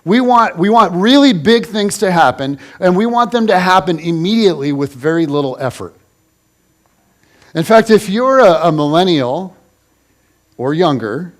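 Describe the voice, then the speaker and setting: A man in his forties preaches in a church in a chatty, joking way. His voice sits fairly high for a man. He often restarts his sentences and teases the congregation as he goes. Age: 40s. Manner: conversational and joking, with many restarts. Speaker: pastor. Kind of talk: casual sermon.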